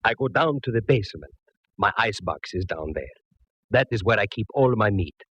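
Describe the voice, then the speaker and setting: An actor playing a formal, anxious Italian restaurant owner with an Italian accent in a 1940s radio drama. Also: male voice, fairly low pitch, expressive, fairly quick, a bit bright, husky, no echo. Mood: troubled. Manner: formal, courteous. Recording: muffled, noisy old radio broadcast.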